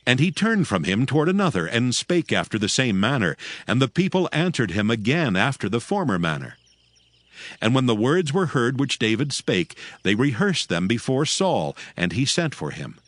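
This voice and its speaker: A man's voice: medium pitch, sing-song, moderate pace, a bit bright, no room sound. A man narrating scripture as storyteller in a dramatized reading. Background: background music.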